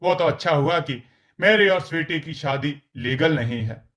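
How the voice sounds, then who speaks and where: Man acting an earnest, higher-voiced grown son. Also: animated, moderate pace, fairly bright tone. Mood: earnest, reproachful. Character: earnest adult son exposing a swindler.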